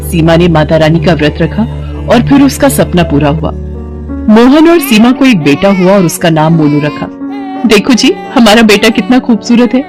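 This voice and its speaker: A woman reading scripted storytelling narration for a Hindi-style family cartoon. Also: lowish voice.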